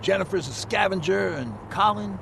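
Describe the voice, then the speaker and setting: An actor playing an anxious, earnest leader of a survivor group in a video game, chatty and urgent. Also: male voice, high-pitched, moderate pace, vocal fry, noisy background. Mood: curious, concerned. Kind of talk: cinematic video game acting.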